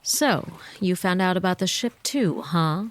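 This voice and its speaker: A woman's voice: fairly low pitch, animated, a little slow. A woman playing a cold, bloodthirsty femme fatale widow in a comedy audio drama, curt and scornful. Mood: suspicious, cold.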